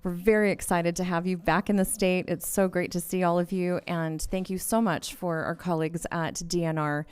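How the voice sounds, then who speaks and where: Clear-voiced woman welcoming guests. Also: on the low side, fairly even intonation, normal speed, hardly any pauses, a little mellow. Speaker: state government staffer. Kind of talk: polite welcome speech at a meeting.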